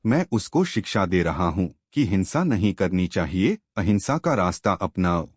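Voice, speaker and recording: A man's voice: low voice, very animated, moderate pace, husky, dry recording, heavily acted. A man voicing a meddlesome young disciple who argues and protests insistently. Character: nosy young male disciple, argumentative and preachy.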